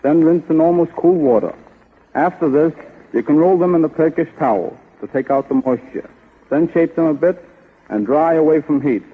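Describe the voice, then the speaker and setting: A man's voice: on the high side, normal speed, slightly rough. A man giving a formal, scripted guest talk on 1930s radio, reading out prepared advice. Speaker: guest stocking designer. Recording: muffled, narrow-band 1930s radio broadcast.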